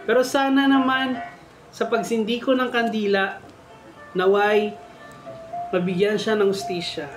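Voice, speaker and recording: A man's voice: sits high, little pitch movement, a little slow, long pauses, reverberant, rough recording. A man hosting a story show, reading listeners' horror tales aloud. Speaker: storytelling host. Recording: music bed behind the voice. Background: faint music.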